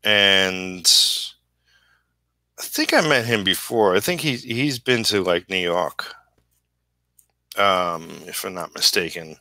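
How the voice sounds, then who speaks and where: A man with a fairly low, sharp-edged voice talks in a dry, close sound. He rambles casually as if to a livestream while working, halting often and easygoing about his own shortcomings. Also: animated, no room sound. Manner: halting, trailing off mid-thought. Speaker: comic book inker live streaming.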